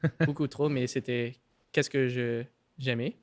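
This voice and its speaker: A young man, a native English speaker, speaking French in a casual interview, halting and slipping English words into his sentences. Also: mid-range voice, fairly even intonation, no echo. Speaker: podcast guest.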